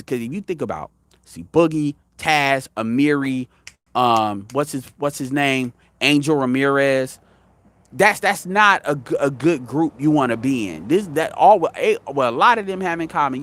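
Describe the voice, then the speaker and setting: A man's voice: mid-range voice, a bit bright. A man in his thirties giving blunt, profane opinion commentary as a show host, rambling with frequent restarts. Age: 30s.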